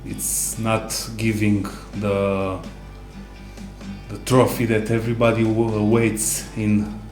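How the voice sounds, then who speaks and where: A man co-hosting a casual sports discussion, speaking haltingly with non-native English phrasing. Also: lowish voice, completely flat delivery, darkish tone. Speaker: co-host. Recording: roomy echo, music underneath.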